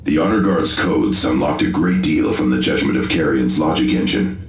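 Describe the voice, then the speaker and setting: Rumbling, impassive tech warrior. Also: male voice. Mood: formal, impassive. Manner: formal, measured, impassive. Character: dutiful, formal armored tech-priest warrior reporting to commander. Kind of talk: video game cutscene acting. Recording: echoing, over faint music.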